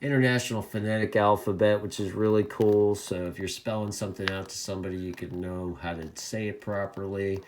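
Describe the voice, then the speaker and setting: A man's voice: lowish voice, steady tone, fairly quick, few pauses, a little hiss or hum. A man giving a casual hobbyist product review to camera, rambling along and often repeating his phrases.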